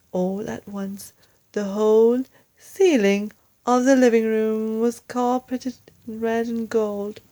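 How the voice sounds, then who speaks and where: A woman reading a children's story aloud as an expressive narrator, with deliberate, dramatic phrasing. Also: medium pitch. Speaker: storyteller, English teacher.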